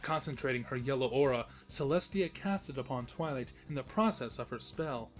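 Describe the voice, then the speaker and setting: A man reads a scripted comic tale as its narrator. His voice sits at a medium pitch, with a storyteller's delivery and a wry touch. Music plays faintly underneath. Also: normal speed.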